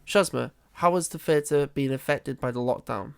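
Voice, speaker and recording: A polite interviewer, played by an actor, with a mid-pitched voice. The questions are scripted and the sound is close and dry. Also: normal speed, creaky, clear, resonant tone, no room sound, natural voice. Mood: neutral. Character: polite local podcast interviewer. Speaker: podcast interviewer.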